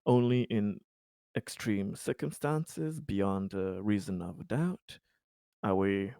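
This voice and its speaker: A man player speaking in character in a formal, halting way with frequent "uh". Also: fairly low pitch, vocal fry, warm tone, clean tone, dry recording, studio quality. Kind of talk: in-character tabletop roleplay.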